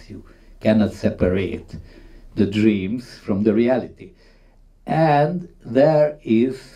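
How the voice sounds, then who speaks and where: Slow, distant man giving a talk. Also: lowish voice, dark tone. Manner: halting, emphatic, non-native English phrasing. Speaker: conference speaker on film history. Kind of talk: unscripted talk retelling film scenes.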